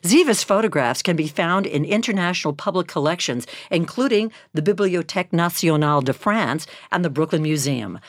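A woman's voice: on the low side, animated, no room sound, studio quality. A woman hosting an interview, introducing guests and asking questions with playful jokes. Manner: playful, quick to laugh and joke. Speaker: interview host. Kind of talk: hosted interview.

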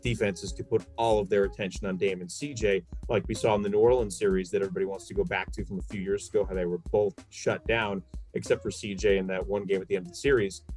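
A man's voice: lowish voice, steady tone, quick pace, darkish tone, faint music behind. A man talking basketball as a sports commentator, giving opinions emphatically and repeating phrases for stress. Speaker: sports commentator, podcast guest.

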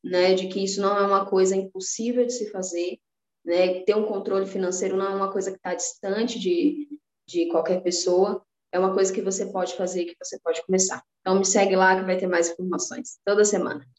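A woman's voice: medium pitch, flat delivery, brisk, roomy sound, low-quality recording. A woman from Salvador, Bahia, with a Bahian accent, a podcast guest talking about personal finance in an earnest, motivating way, with many restarts. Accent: Bahian Brazilian Portuguese. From Salvador, Bahia, Brazil. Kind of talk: casual interview chat.

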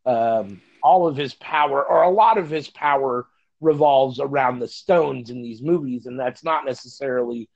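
A man's voice: medium pitch, moderate pace, vocal fry, darkish tone. A man co-hosting a podcast in casual movie chat, heard over slightly dull remote call audio. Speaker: podcast co-host.

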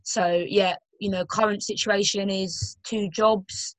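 A woman's voice: medium pitch, steady tone, takes it slowly, no room sound, poor audio. A young woman in her twenties with a London accent, chatting as a guest in a casual podcast interview, full of fillers and restarts. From Romford, London. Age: 20s. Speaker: guest footballer and personal trainer.

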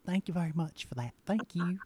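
Man doing a coy, breathy Southern belle. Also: high voice, fairly quick, mellow, slight background noise, voice pushed up, natural voice. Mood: flustered.

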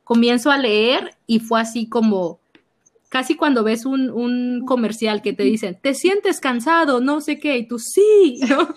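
Young Mexican woman, youth minister, fairly high voice, animated storyteller acting out her own reactions. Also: a little slow. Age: young adult. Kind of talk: personal testimony in conversation.

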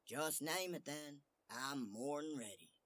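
A man voicing a brash, boastful little tailor in a storybook tale, loud and emphatic with drawn-out words. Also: on the high side, expressive, pitched above the performer's own voice. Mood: cocky, eager.

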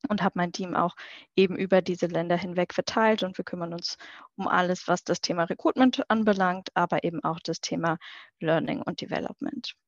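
A woman's voice: lowish voice, fairly quick, dry recording, dull audio. A woman speaking as a company recruitment manager and guest, explaining things in an interview.

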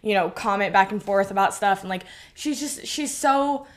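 A woman's voice: mid-range voice, moderate pace. A bubbly woman hosting a podcast, chatting casually and laughing often. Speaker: podcast host. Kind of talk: casual chat.

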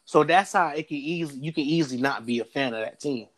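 A man's voice: a little high, quick pace. A young man from Florida co-hosting a podcast, telling stories in casual, profane banter and laughing often. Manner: profane, laughing, animated storytelling. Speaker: podcast co-host.

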